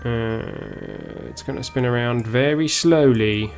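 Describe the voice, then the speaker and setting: A man with a southern English accent commentates live on his own gameplay. His voice sits at a medium pitch. He talks casually and off the cuff, half to himself, over music. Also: very animated, takes it slowly, gravelly. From Essex, England. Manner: unscripted, muttering to himself as he plays. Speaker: live streamer, gaming host.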